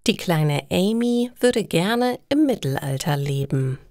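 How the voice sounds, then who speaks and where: A woman narrates simple stories for learners. Her voice sits slightly low and moves with lively intonation. She takes her time over each careful phrase, in a very dry recording. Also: slow, no echo. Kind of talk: scripted storytelling narration for learners.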